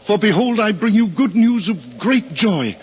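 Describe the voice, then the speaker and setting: A man's voice: high-pitched, sing-song, a little slow, husky, exaggerated voice. An actor in a 1950s radio drama playing an awestruck, reverent shepherd, telling his tale in solemn declamation. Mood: reverent, exalted. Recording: muffled, noisy old radio recording.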